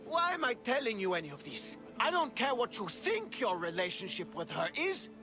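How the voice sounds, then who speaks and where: A lovesick, jealous man in a video game. He is haughty and quick to sneer, with a high voice that swings widely in pitch. Dramatic scorn colours his delivery, over clearly audible music. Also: sing-song, full character voice. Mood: smug, jealous. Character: jealous, lovesick young man giving mercenary orders. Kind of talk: video game dialogue acting.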